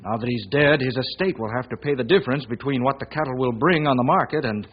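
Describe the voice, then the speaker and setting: An American actor playing a troubled small-town banker in old-time radio drama style, speaking gravely, deliberately and earnestly, with a slightly husky voice. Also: male voice, mid-range voice, natural voice. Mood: grave, explaining. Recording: muffled old radio recording.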